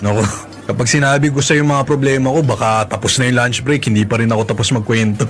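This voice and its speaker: A man's voice: medium pitch, fairly even intonation, fast talker, a bit bright, close to an everyday voice. An actor playing a burdened father confiding in a coworker, casual and resigned, in a Filipino radio drama. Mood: weary, confiding. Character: burdened male coworker, weary father hiding troubles. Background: background music.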